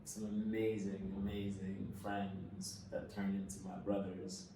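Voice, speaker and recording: A man from Senegal, a guest in an interview, telling his story casually with many pauses, fillers and restarts. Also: low-pitched, flat delivery, vocal fry. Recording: echoey room, mic at a distance, faint noise.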